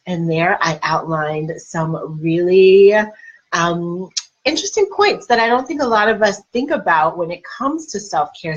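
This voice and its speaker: A woman with a cold and a husky, congested voice, chatting casually to viewers of her live stream as a life coach. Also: fairly low pitch, fairly bright tone. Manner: chatty, addresses viewers directly, laughs at herself. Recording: slightly dull lo-fi live stream audio.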